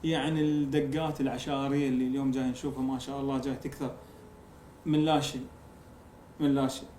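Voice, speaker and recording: Fast-talking southern Iraqi man, roomy sound. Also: mid-range voice, little pitch movement, dark tone, a little hiss or hum. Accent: southern Iraqi, Amarah. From Iraq. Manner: measured, drawn-out recitation of verse. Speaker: guest poet. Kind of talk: conversational interview and slow poetry recitation.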